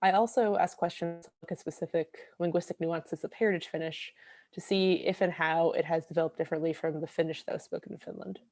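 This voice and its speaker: A woman's voice: lowish voice, fairly even intonation, fast talker, darkish tone, dry recording. A young woman researcher giving a careful, explanatory slide presentation, with frequent ums.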